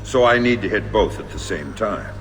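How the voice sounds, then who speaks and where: A man plays a grim middle-aged game operative with a very low voice. He talks in clipped, deadpan threats that stay controlled. Music plays clearly behind him. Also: moderate pace, natural voice. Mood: grim, businesslike. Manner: terse, menacing, deadpan. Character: grim, gruff male covert operative, cold interrogator. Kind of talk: video game cutscene acting.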